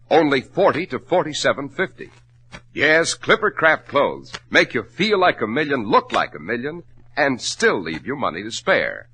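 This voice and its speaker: A man's voice: mid-range voice, pushed and bright. An American man announcing in a polished, salesmanlike 1940s radio style as host and sponsor spokesman.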